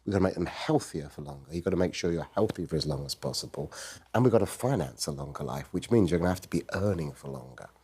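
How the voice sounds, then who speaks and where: A man answering in an interview clip, explaining his points in a brisk, matter-of-fact way. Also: sits low, animated, a little mellow, breathy edge.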